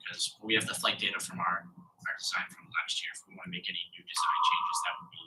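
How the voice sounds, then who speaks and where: A man's voice: low-pitched, steady tone, fast, bright, forceful tone, far from the mic, slight background noise, low-quality recording. A young man presenting in a classroom, answering review questions hesitantly with many fillers. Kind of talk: design review Q&A. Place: lecture classroom.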